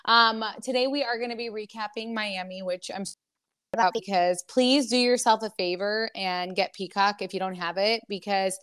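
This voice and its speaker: A woman's voice: medium pitch, fairly even intonation, normal speed, fairly bright tone, clean tone. Chatty woman podcast host, rambling. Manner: chatty, rambling with many fillers and restarts. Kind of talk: casual chat.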